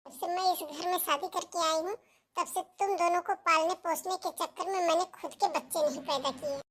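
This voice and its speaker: A woman's voice: very high voice, fairly even intonation, a little fast, a bit bright. A woman voicing a domineering, scornful sister-in-law, haughty and confrontational, in a cartoon melodrama. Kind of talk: melodramatic Hindi cartoon story dialogue.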